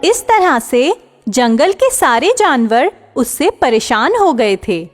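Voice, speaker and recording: A woman narrating a children's moral story in a clear, expressive storytelling style. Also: high-pitched, slow pace, in a large hall, faint music behind.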